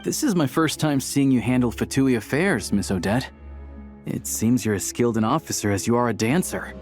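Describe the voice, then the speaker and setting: An actor voicing an easygoing, practical young hunter in a game dub, casual, friendly and thoughtful. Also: male voice, mid-range voice, animated, normal speed, darkish tone, soft background music, lightly acted. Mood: agreeable. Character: easygoing, practical young male hunter doing odd jobs. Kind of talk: English game dub dialogue.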